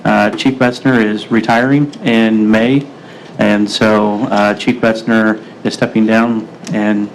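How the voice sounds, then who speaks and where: A man's voice: on the low side, little pitch movement, slow. Informal man chairing meeting, noisy room. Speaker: mayor chairing a city meeting. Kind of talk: procedural public meeting talk. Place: indoor city council meeting room.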